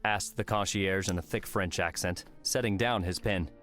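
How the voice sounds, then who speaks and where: A man's voice: low-pitched, fairly even intonation, moderate pace. A man reading scripted audiobook narration as the narrator of a novel. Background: soft music.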